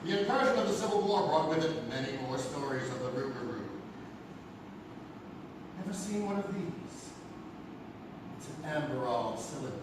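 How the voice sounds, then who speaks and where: A man playing an archivist lecturer, narrating a dark legend theatrically to an audience. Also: fairly high pitch, animated, normal speed, pauses often, natural voice. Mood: storytelling. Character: male archivist lecturer presenting werewolf legend evidence. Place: live stage in a theatre. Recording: echoey noisy theatre, far from mic.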